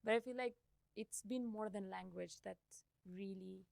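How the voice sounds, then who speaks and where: Young woman guest from india, gujarati first language, gravelly voice, dry close sound, thinks out loud. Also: mid-range voice, a little slow, no echo. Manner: reflective, thinks aloud with soft laughs. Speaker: podcast guest, company CEO. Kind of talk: casual interview conversation.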